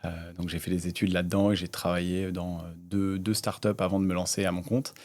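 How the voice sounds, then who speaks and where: A man in his thirties, a startup founder, telling his story in a relaxed way with many hesitations in a casual interview. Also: low-pitched, brisk. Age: 30s.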